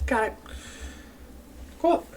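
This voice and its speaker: A woman's voice: extremely slow, very noisy. A woman hosting a gaming video, giving casual commentary with dry, brief reactions.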